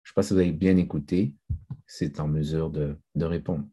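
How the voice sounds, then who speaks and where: A man moderating a group discussion, relaxed and mixing in English phrases. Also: rumbling low, fairly quick, husky.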